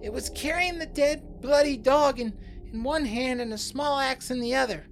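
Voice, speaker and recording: A man's voice: extremely high pitch, normal speed, a little hiss or hum, pitched above the performer's own voice. A man doing a frantic, stammering teen boy. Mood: shaken. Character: panicked teenage boy, terrified and breathless.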